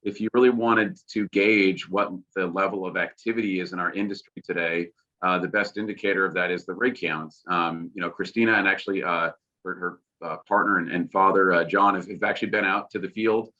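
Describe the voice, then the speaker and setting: A man from Texas explains his company on a webinar, speaking in a very low, flat voice with a bright edge. He talks steadily, leaning on fillers. The sound is a dull, lo-fi video call feed. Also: brisk. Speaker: oil company representative. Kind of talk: webinar presentation.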